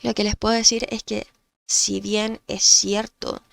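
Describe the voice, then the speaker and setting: A woman with a Chilean accent, nasal from allergies, explaining things thoughtfully on a podcast and pausing with fillers. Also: medium pitch, little pitch movement, vocal fry, no room sound. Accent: Chilean Spanish. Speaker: guest psychologist.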